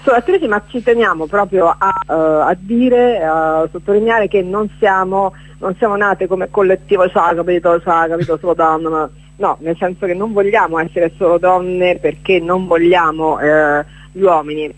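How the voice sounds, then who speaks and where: A woman's voice: lowish voice, fairly even intonation, normal speed, rough recording. A woman giving a chatty radio interview as a guest, speaking in broken, hesitant phrases and repeating words for emphasis. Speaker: radio guest, performer presenting a stage event.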